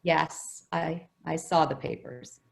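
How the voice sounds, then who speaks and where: A woman playing a gentle, anxious housekeeper who protects a child, hesitant and soothing, in old-time radio drama style. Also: sits low, slow, vocal fry, full character voice. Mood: guarded, uneasy.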